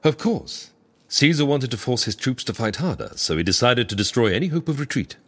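An actor playing a prim, florid and droll fixer in ancient Egypt, in an audio drama. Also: male voice, mid-range voice, very animated, fairly quick, a bit bright. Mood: composed.

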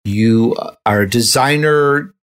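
A man's voice: medium pitch, takes it slowly. A man hosts a conversational interview in a mid-range voice. He is effusive and complimentary as he speaks to his guests and his audience. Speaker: interview host.

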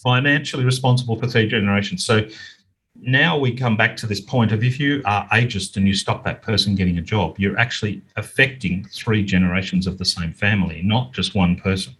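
A man's voice: on the low side, fairly bright tone, breathy edge, low-quality recording. A middle-aged or older man with an Australian accent, explaining things earnestly as an interview guest. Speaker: interview guest, business author. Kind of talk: conversational interview answers.

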